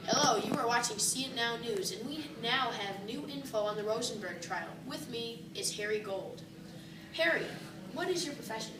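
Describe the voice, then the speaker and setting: A woman's voice: a little high, expressive, normal speed. Echoey, distant woman hosting a news show. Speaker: news host and interviewer. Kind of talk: newsreel presenting. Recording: echoey, distant mic, clear background noise.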